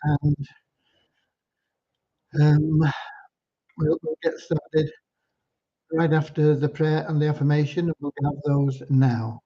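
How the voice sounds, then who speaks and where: A man from Cornwall hosting an informal livestream, talking in an unhurried, earnest, slightly preachy way. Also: on the high side, little pitch movement, mellow. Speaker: livestream host and community leader. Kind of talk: informal livestream updates with devotional talk. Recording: dull lo-fi livestream audio.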